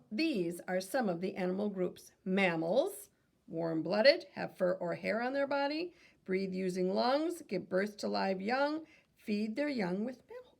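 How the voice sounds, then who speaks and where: Female reader for a kids' nonfiction read-aloud. Creaky edge, mid-pitched, teacherly, with off-the-cuff asides. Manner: teacherly, asks questions and answers them, self-correcting. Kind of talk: reading a children's nonfiction book aloud with asides.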